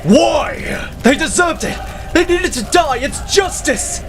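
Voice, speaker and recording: A man's voice: very high-pitched, animated, takes it slowly, over music, heavily acted. An actor playing a deranged, grief-stricken, vengeful gunman with a frantic, impassioned delivery in an audio drama. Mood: frantic, defiant.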